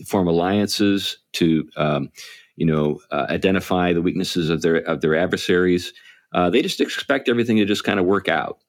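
A man in a casual discussion, talking haltingly with many fillers and restarts, chuckling now and then. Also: low voice.

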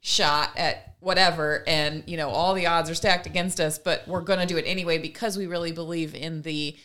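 A woman's voice: sits low, fairly even intonation, brisk, a bit bright. A woman co-hosting a casual discussion, earnest and emphatic, building her argument in long runs. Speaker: podcast co-host.

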